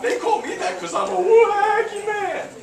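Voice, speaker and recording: A young man voicing a wacky, excitable male puppet in a high, bouncy, goofy voice, heard from a distance in an echoey hall full of children. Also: a little slow, vocal fry, a faint crowd, exaggerated voice. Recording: echoey hall from far off, noisy kids.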